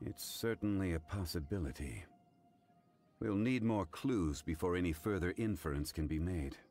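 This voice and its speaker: A deep, formal scholar. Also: male voice, lively intonation, over music. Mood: calm. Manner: measured, formal, analytical. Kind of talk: video game voice acting.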